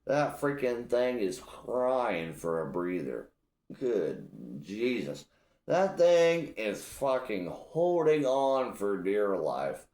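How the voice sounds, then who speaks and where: A young man from Illinois hosts a casual solo podcast in a blunt, profane, rambling way. His voice sits very low and he talks slowly. The room around him is echoey. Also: very animated, a little mellow. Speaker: podcast host.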